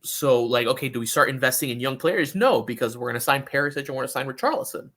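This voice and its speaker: A man co-hosting a sports podcast, giving opinions in casual talk and often halting to find his words. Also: mid-range voice, animated, fairly bright tone. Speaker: podcast co-host. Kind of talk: casual soccer discussion.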